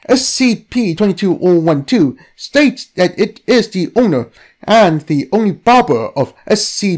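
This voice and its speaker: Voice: medium pitch, a little mellow. A narrator reading a scripted, documentary-style account.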